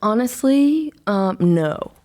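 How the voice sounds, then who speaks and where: A young woman, an artist, talking casually in an interview, rambling and halting with many fillers and restarts. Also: mid-range voice, animated, darkish tone. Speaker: album artist, interview guest. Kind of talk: casual conversation.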